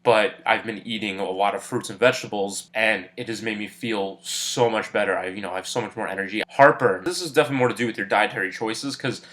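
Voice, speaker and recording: A man in his early twenties, a little congested from a cold, talking candidly in a relaxed personal vlog with lots of fillers. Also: fairly low pitch, fast, hardly any pauses. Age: 20s. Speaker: vlogger.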